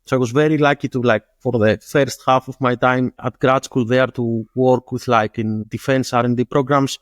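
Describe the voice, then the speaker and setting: A man from Greece with a Greek accent, explaining things in an interview as a startup founder, often pausing and rephrasing himself. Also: mid-range voice, steady tone, no room sound, studio quality. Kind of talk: interview answers.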